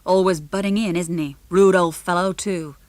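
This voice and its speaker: A woman's voice: on the low side, fairly even intonation, moderate pace, darkish tone, no echo, lightly acted. A woman voicing a burly, boastful American father with a hearty, brash manner. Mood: confiding.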